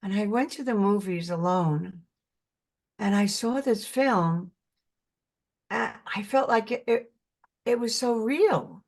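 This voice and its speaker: A woman's voice: mid-range voice, darkish tone. Earnest older New York woman. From Brooklyn, New York. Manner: earnest, halting, repeats words while searching. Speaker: actress guest. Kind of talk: casual interview chat.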